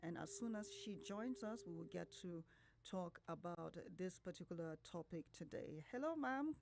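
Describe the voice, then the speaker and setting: A woman's voice: lowish voice, animated, moderate pace, a little mellow. A woman with a Nigerian accent working as a radio host, conducting a live interview. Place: radio studio.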